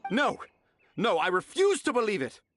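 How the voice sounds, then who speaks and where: A man voicing a melodramatic, excitable, easily crushed storyteller father in a video game, theatrical in delivery. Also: sits high, normal speed, slight background noise, full character voice. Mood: disbelieving. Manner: theatrical, exclaiming.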